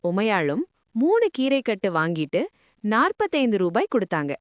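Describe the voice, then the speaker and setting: A woman telling stories to young children in an expressive, affectionate way. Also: mid-range voice, normal speed, no echo, clean studio sound. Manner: animated, addresses listeners affectionately. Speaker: children's storyteller.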